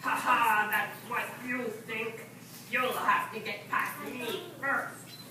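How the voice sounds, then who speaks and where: Woman playing a slow, taunting puppet dragon, echoey. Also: a little high, fairly even intonation. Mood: taunting menace. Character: menacing gatekeeping castle dragon puppet. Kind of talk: children's puppet show acting. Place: library event room, live show. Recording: echoey noisy room from far off.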